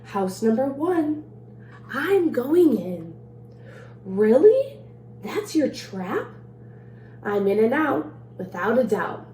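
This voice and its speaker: A woman's voice: fairly high pitch, sing-song, slow pace, long pauses, mellow. Woman reading as a boastful leprechaun trickster, echoey room. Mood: cocky. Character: boastful, mischievous two-hundred-year-old male leprechaun trickster. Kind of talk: picture-book read-aloud in rhyme. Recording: roomy echo, faint background noise.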